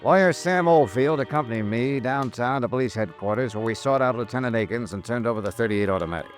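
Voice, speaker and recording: A man's voice: mid-range voice, quick pace, natural voice. An American man acting a hard-boiled, stubborn insurance investigator and narrator in an old-time radio detective drama, clipped and wry. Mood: brisk, businesslike. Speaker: lead actor and narrator. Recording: vintage radio recording with music.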